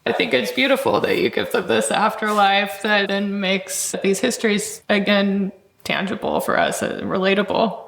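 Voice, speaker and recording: Creaky-voiced woman interviewer, roomy echo. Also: medium pitch, fairly even intonation, a bit bright. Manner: measured, articulate, academic. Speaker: interviewer, host. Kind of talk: considered interview questions and commentary. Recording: roomy echoing sound.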